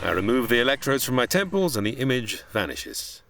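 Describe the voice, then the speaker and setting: A man's voice: mid-range voice, very animated, brisk, fairly bright tone, dry recording. A man playing a proud, learned older scientist who lectures formally and grandly in a radio sci-fi drama. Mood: instructive. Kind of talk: old-time radio sci-fi drama acting.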